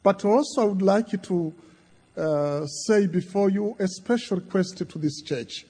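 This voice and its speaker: Tanzanian man, Kiswahili first language, guest preacher; high, unhurried delivery in short phrases, echoing church. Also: dark tone, husky. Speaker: visiting bishop preaching. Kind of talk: sermon. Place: large church. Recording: long church reverb.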